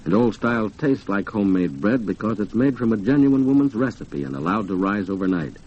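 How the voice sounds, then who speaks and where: An American man announcing and co-hosting on a 1940s radio program, with polished, measured phrasing. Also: fairly low pitch, lively intonation, breathy edge. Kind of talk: 1940s radio announcing and scripted sponsor talk. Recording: dull, band-limited 1940s radio recording.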